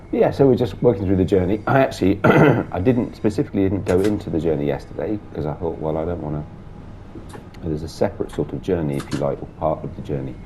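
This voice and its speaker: Man, very deep and gravelly, blocked-up and hoarse, casual muttering sim-driving commentary over a faint background hiss. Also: dark tone. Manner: chatty, muttering through cab controls. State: sore throat, blocked nose. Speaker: gameplay streamer.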